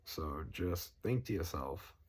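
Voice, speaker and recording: A man's voice: low-pitched, lively intonation, slow, a little mellow, husky. A man with a Boston accent ranting at length, swearing constantly and rambling. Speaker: political vlogger. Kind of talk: profane rambling rant to camera.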